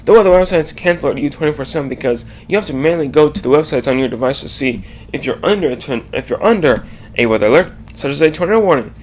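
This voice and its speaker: A man narrating a scripted slide presentation in a halting way, often stumbling and correcting himself. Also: on the high side, expressive, a little mellow.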